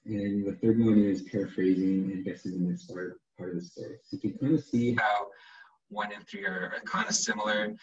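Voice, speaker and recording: A soft-spoken man giving an online lecture, hesitant and often repeating his words, who checks in with "right?". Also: fairly low pitch, steady tone, fairly quick. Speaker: webinar presenter. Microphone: computer microphone on a video call. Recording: muffled video call audio.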